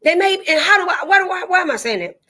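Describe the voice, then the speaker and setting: A woman's voice: extremely high pitch, lively intonation, fast talker, pushed and bright. A woman speaking candidly and emphatically, with a preachy, repetitive cadence. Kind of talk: candid testimony-style chat.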